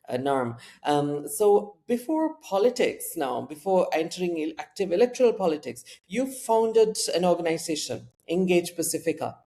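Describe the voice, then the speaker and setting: A man hosts a podcast interview in a somewhat high, gravelly voice with a dark, mellow tone. He speaks with formal courtesy but halting phrasing, full of fillers and restarts. His questions wander as he searches for words. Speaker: podcast host. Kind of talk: hosted interview.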